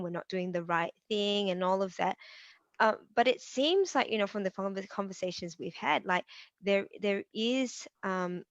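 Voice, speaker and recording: A woman's voice: fairly low pitch, dry recording, muffled recording. A woman hosting a live online interview, often restarting her sentences and using many fillers. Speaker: host.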